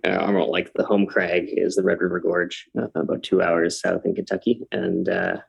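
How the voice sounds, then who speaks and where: A man from Canada, in his thirties, talks as a guest on a podcast. His voice is low with a gravelly edge. He takes his time and fills gaps with ums and uhs. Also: level tone, moderate pace. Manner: hesitant, many ums and uhs, easygoing. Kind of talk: casual interview chat.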